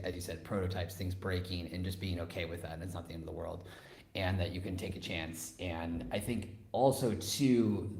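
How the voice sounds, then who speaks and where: A man with a fairly low voice hosts a casual, reflective conversation. The sound is echoey, as if he sits in a reverberant room. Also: darkish tone. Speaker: podcast host.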